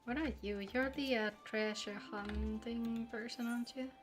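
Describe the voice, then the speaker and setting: A woman with a fairly high voice streams a game over background music. She talks casually, as if to friends in a chat. Her manner is playful and chatty, with a joking streak. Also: fairly even intonation, normal speed. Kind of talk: casual livestream chat while gaming.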